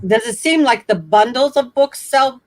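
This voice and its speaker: A woman's voice: a little high, moderate pace. An older woman hosting a casual live video chat, greeting viewers by name and asking the guest questions. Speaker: host.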